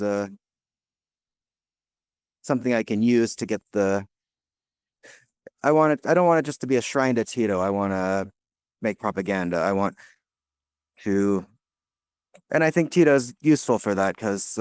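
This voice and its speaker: A young American man from the United States, answering interview questions casually with many pauses, fillers and restarts. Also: lowish voice, darkish tone, smooth, dry recording, clean studio sound. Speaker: guest, laundromat owner.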